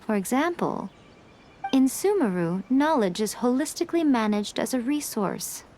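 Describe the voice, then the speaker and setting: A woman's voice: a little high, sing-song, normal speed, mellow, slight background noise. A woman voicing a sly, playful fox-spirit woman in a game, with a poised, teasing way of speaking. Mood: calm. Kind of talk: game dialogue.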